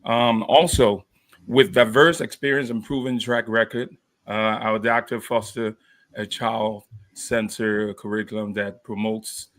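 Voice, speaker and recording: A man hosting a podcast interview, talking haltingly with many fillers. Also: fairly low pitch, level tone, a bit bright. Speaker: podcast host. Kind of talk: interview introduction.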